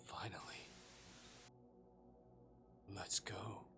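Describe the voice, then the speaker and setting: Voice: low-pitched, fairly even intonation, drawn-out words, whispered. A game streamer commentating on a visual novel live, swinging expressively between muttering and exclaiming. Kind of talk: gaming livestream commentary, reading game text aloud. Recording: music behind the voice.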